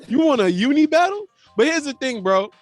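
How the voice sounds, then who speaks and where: A man hosting a podcast in casual chat, loud and animated, laughing often and talking in slang. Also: very high-pitched, no room sound. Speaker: podcast host.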